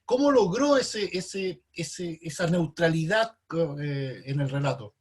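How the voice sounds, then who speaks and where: A man hosting an interview, asking long questions haltingly and repeating words while he searches for the phrasing. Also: fairly high pitch, animated, breathy edge. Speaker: interviewer, moderator.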